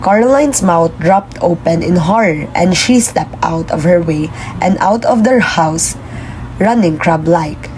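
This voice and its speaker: A woman narrates a puppet show story from a script. Her voice is fairly low and mellow, and there is clear background noise. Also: unhurried, poor audio.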